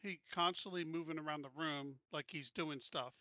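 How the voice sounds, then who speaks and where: A man plans his moves in a tabletop game in casual talk. His voice is very bright and forceful and a bit high for a man. It sounds close and dry. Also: steady tone, normal speed, no room sound, dull audio.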